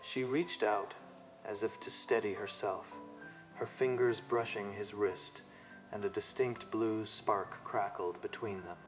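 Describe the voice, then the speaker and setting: A man's voice: on the low side, steady tone, a little slow, faint music behind, rough recording. A man narrating a dramatic manga story from a script.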